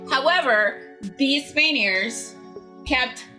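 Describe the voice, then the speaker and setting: A young woman from Ecuador chats casually, laughing often as she shares facts. Her voice is bright and slightly high, with faint music playing behind her. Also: extremely slow, low-quality recording. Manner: chatty, laughs often, tells fun facts. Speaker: co-host. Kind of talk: casual chat.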